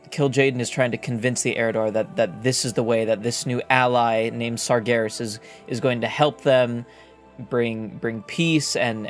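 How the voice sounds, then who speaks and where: A male gaming commentator with a medium pitch talks informally to his viewers. He explains lore in a halting, think-aloud manner, with music playing behind his voice. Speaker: gaming video host, commentator. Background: background music.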